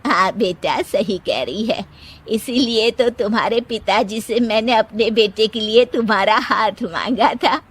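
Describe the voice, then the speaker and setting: A woman doing a slow, gently chiding mother-in-law. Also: fairly high pitch, breathy edge. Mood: gentle. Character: kindly old mother-in-law. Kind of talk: cartoon story voice acting.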